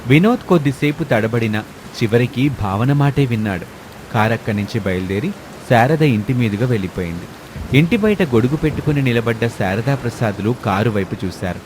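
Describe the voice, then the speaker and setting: A man's voice: medium pitch, expressive, a little fast, a little mellow, slight background noise. A man reading a scripted story as its narrator.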